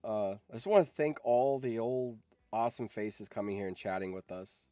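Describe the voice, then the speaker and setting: A man's voice: lowish voice, moderate pace, dark tone, clean tone, dry recording. Casual man streamer with a close, dry sound. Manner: rambling, freely swearing. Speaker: streamer and video editor chatting with viewers. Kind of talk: casual livestream chat.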